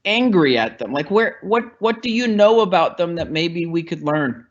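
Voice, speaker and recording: A man hosting an interview on a webinar, chatty and animated, asking long questions and laughing easily. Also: fairly high pitch, moderate pace, dull audio. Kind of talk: interview moderation. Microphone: remote webinar call.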